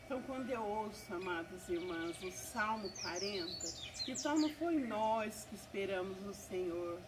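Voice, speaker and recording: Woman, 70s, earnest church testimony, mid-pitched, distant mic, noisy outdoor birdsong. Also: expressive, normal speed. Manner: earnest, repeats "né?" and praises God often. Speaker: guest giving testimony. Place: country property.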